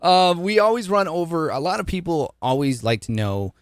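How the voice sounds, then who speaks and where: A man hosts a casual podcast interview with a friendly, chatty manner. His pitch swings up and down a lot as he talks. He sounds close to a studio mic in a dry room at home. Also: lively intonation, moderate pace, no room sound. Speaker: podcast host. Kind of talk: casual interview chat over video call. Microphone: studio dynamic mic.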